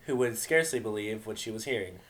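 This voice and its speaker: A man's voice: on the low side, expressive, fast, a bit bright. A man reading a story aloud as narrator, in a dramatic narration style. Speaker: narrator of a fan fiction reading.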